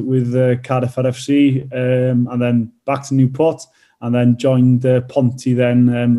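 Welsh rugby player, dark flat voice. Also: male voice, mid-range voice. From North Wales. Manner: hesitant, many fillers and restarts. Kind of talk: casual interview answers.

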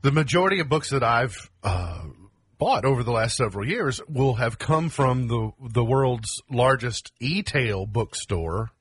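A man's voice: mid-range voice, lively intonation, unhurried, fairly bright tone, breathy edge, no room sound. A man co-hosting a casual talk show, bantering with dry wordplay between items he reads off a list. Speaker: co-host. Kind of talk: casual banter around reading a gift list.

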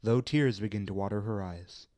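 A man narrating a radio drama, reading stage directions in a deliberate, literary storytelling manner. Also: on the low side, dark tone, no echo.

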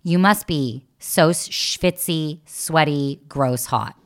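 A woman hosting a podcast, her voice sitting low for a woman. She keeps the talk loose and teasing, tossing in quick jokes between questions. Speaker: podcast host. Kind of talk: playful interview chat.

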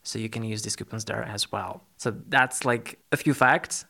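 A man hosting a podcast in a conversational interview style, explaining things haltingly with frequent pauses. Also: fairly low pitch, steady tone, creaky, pushed and bright. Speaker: podcast host.

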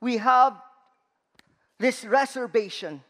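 Filipino-accented male preacher, high voice, deliberate pace, big reverberant church. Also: pushed and bright. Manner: slow, emphatic delivery with rising stresses. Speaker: guest preacher. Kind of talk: sermon. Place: large church hall. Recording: long reverb of a church hall.

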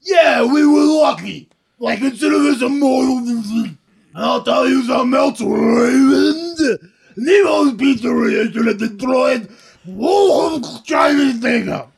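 A man playing a gruff, aggrieved big team captain in a comic sitcom read, loud, whiny and halting. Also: extremely high pitch, exaggerated voice. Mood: indignant, bossy.

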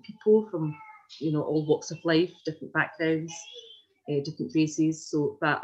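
Woman in her forties, Glaswegian accent, low pitch, hesitant and meandering, interview answers, muffled video call audio. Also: a little mellow. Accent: Glaswegian Scottish. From Glasgow, Scotland. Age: 40s. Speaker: interviewee, community development worker. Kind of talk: informal oral history interview.

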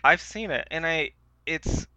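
A man's voice: a little high, unhurried, very noisy. A man co-hosting a podcast, chatting casually in a hesitant, rambling way. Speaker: podcast co-host. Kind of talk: casual chat about films.

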